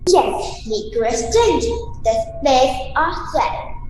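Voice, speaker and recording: Woman playing eerie old Count, high voice, echoing. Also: sing-song, very slow, exaggerated voice. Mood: ominous. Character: eerie, courteous old Count of a haunted castle. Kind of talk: amateur radio play acting. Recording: very echoey hall, music behind.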